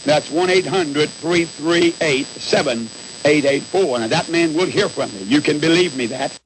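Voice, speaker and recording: Radio preacher guy on a call-in show, kinda high voice, earnest, repeats himself, bit of hiss. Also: unhurried. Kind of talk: live religious call-in radio talk. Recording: hissy, noisy radio broadcast recording.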